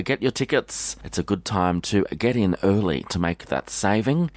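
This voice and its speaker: A man with an Australian accent hosting breakfast radio in a relaxed, chatty way, his voice a little croaky. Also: lowish voice, no echo. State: slightly croaky voice. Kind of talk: casual radio chat.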